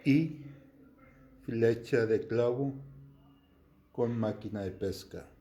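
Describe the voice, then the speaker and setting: A Texas-born man in his fifties, casually explaining and demonstrating slingshot shooting to the camera outdoors, in a plain way with pauses and restarts. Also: mid-range voice, warm tone. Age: 50s.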